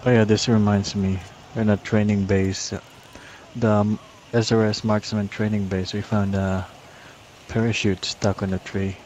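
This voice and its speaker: A man with a fairly low voice talks casually in character in an online roleplay game. His intonation is very flat, and light noise runs through the voice chat. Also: a little slow, highs cut off. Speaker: roleplay gamer playing a survivor. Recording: noisy gaming voice chat.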